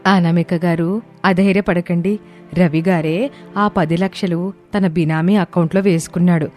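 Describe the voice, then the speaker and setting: A woman's voice: on the low side, fairly even intonation, moderate pace, darkish tone, soft background music, close to an everyday voice. A woman voicing a loyal office assistant in a moral story, earnest, respectful and reassuring. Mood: earnest reassurance. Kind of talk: acted moral-story dialogue.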